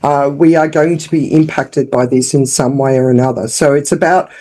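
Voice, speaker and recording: A woman with an Australian accent, a founder speaking as a podcast guest in a frank, lively, conversational way. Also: a bass voice, moderate pace. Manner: lively and frank, with frequent restarts and fillers. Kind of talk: casual interview talk.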